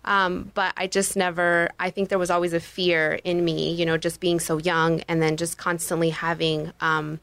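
A woman's voice: on the low side, flat delivery, moderate pace, hardly any pauses, fairly bright tone, no echo. A woman from Mexico whose first language is Spanish, answering interview questions in a chatty way, full of "you know" and "um". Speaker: guest, business owner.

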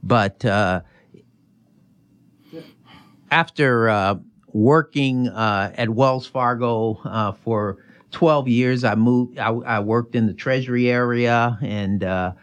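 A middle-aged man from Chicago with an American accent, answering interview questions informally, often halting and restarting. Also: mid-range voice, clean tone. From Chicago, United States. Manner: informal, halting, self-correcting. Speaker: interview guest, finance executive.

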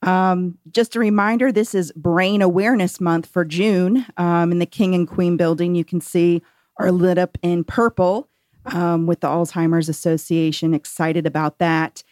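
Woman radio host, lowish voice, chatty, dry studio mic. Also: fairly even intonation, moderate pace, a little mellow, no room sound. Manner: friendly and conversational, with fillers. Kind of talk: hosting a radio interview.

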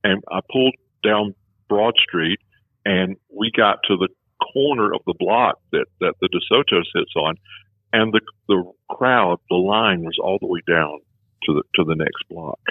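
Low husky-voiced man for a rambling anecdote, phone-quality audio. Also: unhurried, dry recording. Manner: rambling, many restarts, chuckles at his own stories. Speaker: former film festival executive director. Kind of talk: casual conversation. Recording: tinny phone-like sound.

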